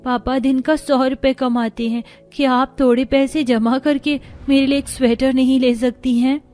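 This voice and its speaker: Little boy for cartoon, voiced by a woman: high pitch, plaintive, polite, pleading. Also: fairly even intonation, moderate pace, a little mellow, soft background music, lightly acted. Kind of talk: cartoon moral-story dialogue.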